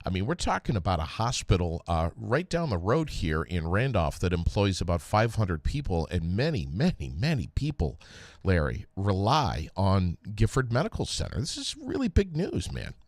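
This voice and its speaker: A man's voice: sits low, wildly swooping pitch, normal speed, dry recording, clean studio sound. A man co-hosting a news show, giving conversational commentary with hesitant phrasing and repeated words for stress. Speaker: radio news co-host.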